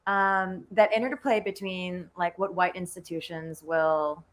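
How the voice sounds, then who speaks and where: A woman speaking as a panelist in a casual discussion, hesitant and halting as she gathers her thoughts. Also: lowish voice, fairly even intonation. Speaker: panelist, writer.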